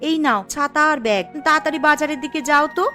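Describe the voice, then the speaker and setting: A woman's voice: high-pitched, fast, sharp tone, soft background music. A woman voicing a bossy married daughter and housewife in a Bengali moral-story cartoon, exclaiming and insistent. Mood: insistent, nagging.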